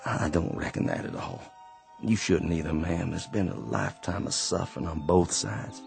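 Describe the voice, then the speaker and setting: An actor playing a gentle, weary man back from war, talking softly in plain folksy words. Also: low-pitched, sing-song, moderate pace, vocal fry, soft background music, exaggerated voice. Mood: concerned. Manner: soft-spoken, plain folksy talk. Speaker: actor in a radio drama. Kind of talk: radio drama acting.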